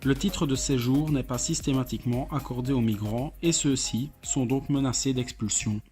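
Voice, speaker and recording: A man's voice: mid-range voice, fairly even intonation, moderate pace, dark tone. Young male narrator with music behind. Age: young adult. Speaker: student narrator. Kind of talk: scripted narration.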